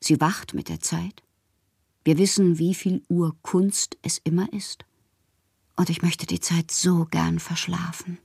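Husky, breathy bohemian woman writer. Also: lowish voice, level tone, slow, darkish tone, dry recording, studio quality, full character voice. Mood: weary, wry. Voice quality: husky, breathy, often dropping to a whisper. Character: lonely, whimsical bohemian woman writer, sharing letters. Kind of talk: literary radio monologue.

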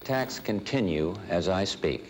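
A man's voice: deep voice, expressive, unhurried, loud music behind the voice. A male news reporter delivering an archive 1990s broadcast announcement.

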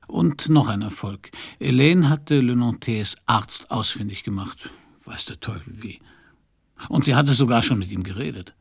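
A world-weary private detective in a radio noir. His voice is husky and full of gravel, at a middling male pitch, and he talks in a dry, laconic, sardonic way. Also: normal speed. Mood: wry, brooding. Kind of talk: German radio crime play narration and dialogue.

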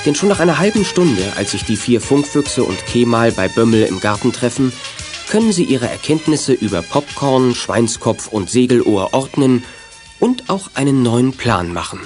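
A man's voice: medium pitch, sing-song, moderate pace. Male storyteller over music. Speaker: narrator. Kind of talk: scripted radio play narration for young listeners.

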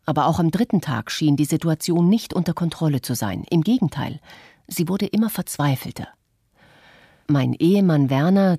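A woman voicing an adult artist who recounts memories in a measured, earnest way, as scripted radio documentary narration. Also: low voice, gravelly, dark tone, slightly rough, no echo, clean studio sound, heavily acted.

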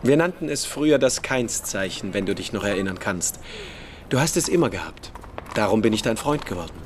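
An actor playing a calm, prophetic young man who speaks solemnly and with assurance in a German radio play. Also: fairly low pitch, brisk, slight background noise, natural voice. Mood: calm, welcoming.

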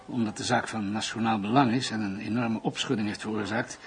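A man's voice: fairly low pitch, fairly even intonation, a little fast, soft background music, natural voice. An actor playing a cautious, reserved male police commissioner in a radio drama, formal and businesslike. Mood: matter-of-fact.

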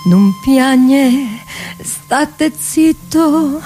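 A woman sings a traditional Roman song with a Roman accent. Her voice is very high and the phrases are extremely drawn out, over a light guitar accompaniment. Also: animated, extremely slow, dark tone. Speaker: singer.

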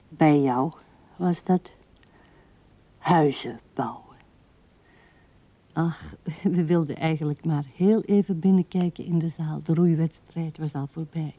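A woman's voice: sits low, no echo, heavily acted. Een vrouw speelt een oude weduwe die mijmerend en haperend tegen haar overleden man praat in een hoorspel. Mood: grumbling, musing. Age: older. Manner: musing, halting, with breathy asides. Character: old widow musing tenderly to her dead husband. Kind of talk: radio drama monologue. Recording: dull, band-limited 1970s radio recording.

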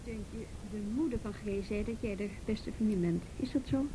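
An actress playing a brisk, persistent policewoman in a radio drama, with a probing, matter-of-fact manner. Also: medium pitch, noisy background, close to an everyday voice. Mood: gently insistent. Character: brisk, persistent policewoman questioning colleagues and a witness.

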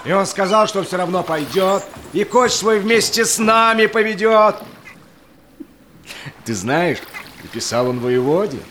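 An actor playing a grave, honourable Cossack expedition leader, delivering rhymed audio drama verse in a measured way. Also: male voice, sits high, expressive, fairly bright tone, a little hiss or hum. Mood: grave, wary.

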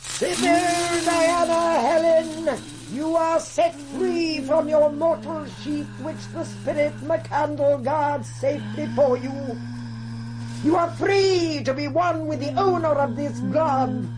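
High, very slow occult priestess. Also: female voice, over music, low-quality recording. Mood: solemn. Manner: incantatory, commanding, theatrical. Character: solemn high priestess leading a death ritual. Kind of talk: radio drama acting.

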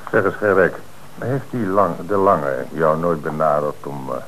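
An actor playing a stern, sceptical police inspector, questioning methodically and insistently in a Dutch radio drama. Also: male voice, extremely low pitch, steady tone, measured pace, fairly bright tone, slight background noise, full character voice, low-quality recording. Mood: probing.

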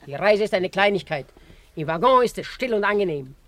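A woman's voice: mid-range voice, lively intonation, brisk, a bit bright, natural voice. A woman playing a blunt, imperious old Russian aunt in a German radio drama, commanding and mocking, often repeating her words. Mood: brisk, mocking. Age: older.

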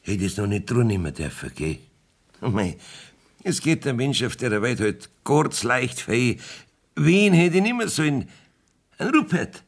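An actor playing a blustering, shifty village judge in a Bavarian dialect radio comedy, gruff and evasive, swinging from wheedling to barking, with a gravelly voice. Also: male voice, medium pitch, big pitch swings, measured pace. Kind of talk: German dialect radio play acting.

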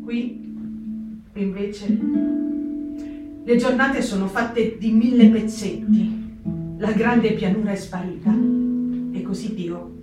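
An actress playing a restless, introspective young woman diarist in a reflective, measured dramatic stage reading. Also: mid-range voice, steady tone, close to an everyday voice. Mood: troubled, confiding. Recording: echoey room, distant mic, music behind. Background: plucked guitar music.

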